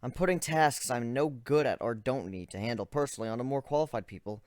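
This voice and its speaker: A man performs a young griffin inventor in a dramatic storybook reading. He is methodical and analytical, with a weary, exasperated streak. The voice sits at a middle pitch in a very dry space. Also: quick pace, darkish tone, dry recording, natural voice. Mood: weary, downcast. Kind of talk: dramatic reading of first-person fan fiction.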